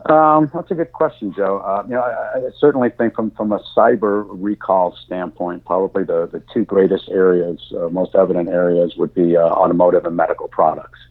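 Deep man on a tinny phone line. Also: steady tone. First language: German. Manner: halting, many fillers and restarts. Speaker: insurance industry guest. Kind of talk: podcast interview answers.

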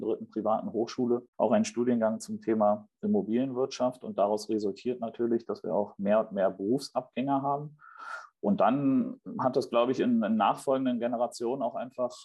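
A man's voice: mid-range voice, dark tone, slightly rough, muffled recording. A man in his late twenties from Germany, answering as a podcast guest in a conversational style. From Berlin, Germany. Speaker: podcast guest, real estate investment manager.

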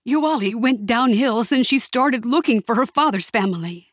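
A woman's voice: a little high, lively intonation, moderate pace, dry recording, studio quality, pitched above the performer's own voice. A woman voicing an anxious older aunt from Mexico, with a trembling, pleading manner and a touch of disapproval. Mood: worried.

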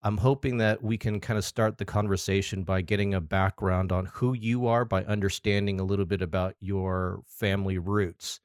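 Low-voiced male podcast host, dry sound. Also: steady tone, moderate pace, hardly any pauses, clean tone, dry recording. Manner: conversational, reads quotes aloud. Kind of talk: interview.

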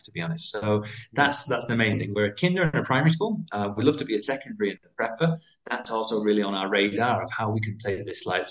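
British male guest, fast and animated, school-founder type, conversational. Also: lowish voice, rough recording. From United Kingdom. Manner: fast, animated, many restarts. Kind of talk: interview conversation.

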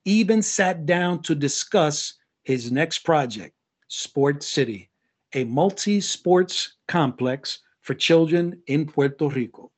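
A man's voice: on the high side, expressive. Earnest man hosting a podcast. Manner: measured, earnest, with some fillers. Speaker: podcast host. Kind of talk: warm formal interview introduction.

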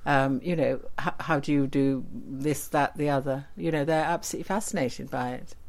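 Low-voiced older woman radio producer. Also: moderate pace, darkish tone, slight background noise. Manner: frank, playfully saucy. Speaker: radio drama producer and director, interview guest. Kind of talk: anecdotal interview chat.

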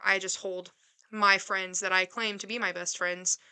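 Smooth, very bright-voiced woman in her twenties. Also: medium pitch, moderate pace. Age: 20s. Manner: earnest, reassuring, speeds up when excited. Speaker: podcast co-host. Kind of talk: casual chat.

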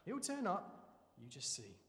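A man's voice: sits high, huge pitch swings, a little slow, fairly bright tone, big-room sound, a little hiss or hum, heavily acted. An actor playing an easygoing, laughing young man in an audio drama. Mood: reassuring. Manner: chummy, easygoing, laughs a lot. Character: cheerful laddish man, dazed groom-to-be.

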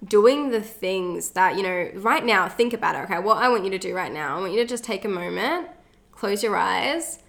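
A woman's voice: fairly low pitch, a bit bright. A woman co-hosting a casual spiritual podcast, speaking with effusive enthusiasm and a slightly nasal voice. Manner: enthusiastic, effusive, guiding the listener. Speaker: podcast co-host.

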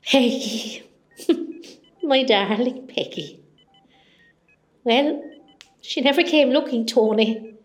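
A woman's voice: a little high, slow, pauses often. A woman playing an elderly, wistful Irish mother from Cork in an amateur hospital radio play. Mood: grieving, wistful. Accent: Irish, County Cork. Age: older.

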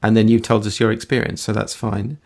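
A podcast host with a husky voice and a heavy creak asks questions in a conversational way. The phrasing is tentative, circling back to reword each question. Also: mid-range voice, flat delivery, fairly quick, a little mellow. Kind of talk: conversational interviewing.

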